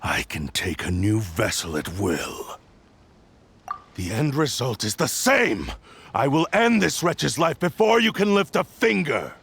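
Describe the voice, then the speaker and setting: A man's voice: mid-range voice, very animated, unhurried, breathy edge, heavily acted. A man playing an arrogant, vengeful sentient sword that possesses people, speaking in a grandiose, menacing villain style for a video game. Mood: defiant, raging. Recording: loud background music.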